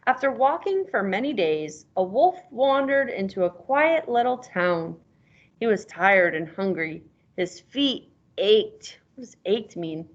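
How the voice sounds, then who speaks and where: Lady teacher doing a read-aloud, bit husky, asks questions and explains words, muffled lo-fi audio. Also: medium pitch, expressive, measured pace. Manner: conversational, explains words, checks understanding. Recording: dull lo-fi recording.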